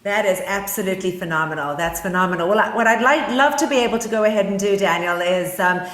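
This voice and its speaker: A woman's voice: medium pitch, fast, fairly bright tone. South African woman, co-host, eager and chatty with lots of fillers, echoey room sound. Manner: enthusiastic, frequent fillers and restarts. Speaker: podcast co-host and interviewer. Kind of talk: casual chat.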